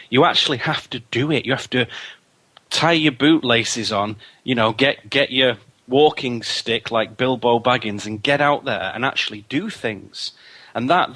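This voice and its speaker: A man speaking as a guest in a radio interview, measured and reflective, often repeating words as he gathers his thoughts. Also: medium pitch, bright, forceful tone, rough recording. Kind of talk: reflective spiritual interview talk.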